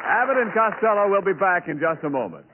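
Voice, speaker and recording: A man's voice: high voice, sing-song, fairly quick, a loud crowd, very noisy. A man with an American accent reading scripted announcements in the style of 1940s radio, on a tinny old recording. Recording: tinny old radio broadcast, music behind.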